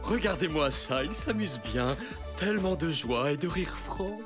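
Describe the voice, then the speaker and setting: A man's voice: fairly high pitch, animated, unhurried. A man voicing a flamboyant male supervillain posing as a party magician in a cartoon, theatrical, grandiose and wheedling. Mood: scheming. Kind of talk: animated cartoon dub acting. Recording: music under the voice.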